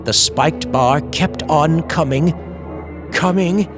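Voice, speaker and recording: A man reading scripted horror in an old-time radio style, with dramatic, deliberate, suspenseful delivery. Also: a little high, over music. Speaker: horror story narrator, channel host.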